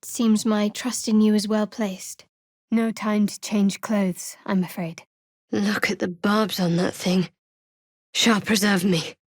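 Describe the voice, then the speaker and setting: An actress playing a guarded, sardonic young priestess of a dark goddess in a video game, with a dry, secretive manner. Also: female voice, mid-range voice, flat delivery, takes it slowly, no echo. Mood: hushed, then dry, wry.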